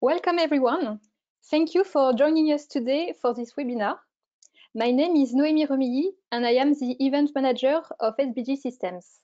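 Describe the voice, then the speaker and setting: A woman's voice: sits high, normal speed, warm tone. A woman, an event manager opening a webinar, formal with frequent fillers. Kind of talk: scripted webinar introduction. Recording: muffled webinar audio.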